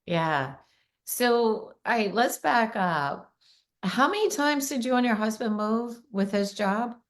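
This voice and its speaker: A middle-aged woman with a raspy voice hosting a casual podcast, chatty and quick, laughing often. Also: on the high side, lively intonation. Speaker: podcast host, realtor.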